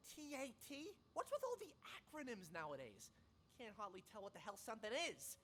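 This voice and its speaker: A twitchy, crude henchman patient in a radio drama. The voice is bright and edgy, leaping up and down wildly as it stammers and blusters, with a little background noise. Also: medium pitch, huge pitch swings, a little fast. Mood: skeptical, scornful. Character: jittery, crude, skeptical henchman mental patient. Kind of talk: interactive radio drama acting.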